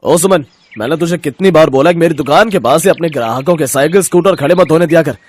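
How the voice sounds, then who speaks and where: Cartoon bully shopkeeper male actor: irritable, scolding, jealous, slightly high male voice. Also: quick pace, a little hiss or hum, lightly acted. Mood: angry, threatening. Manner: scolding, threatening.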